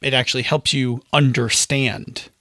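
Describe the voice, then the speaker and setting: A man's voice: mid-range voice, level tone, measured pace, sharp tone, no echo, clean studio sound. A man co-hosting a podcast with casual, jokey banter and frequent hesitation. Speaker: podcast co-host.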